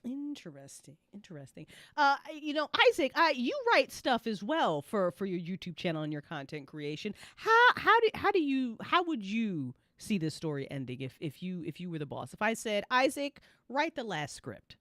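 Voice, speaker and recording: Bright-voiced, very animated woman hosting. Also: mid-range voice, normal speed, few pauses, no echo, clean studio sound. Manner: animated, teasing, profane. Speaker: host and story creator. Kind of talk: casual after-show discussion.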